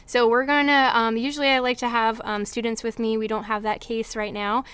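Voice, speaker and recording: A woman's voice: a little high, little pitch movement, brisk, a bit bright, clear, resonant tone. A young woman advising students on study abroad, speaking earnestly with frequent filler words. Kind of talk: informational presentation.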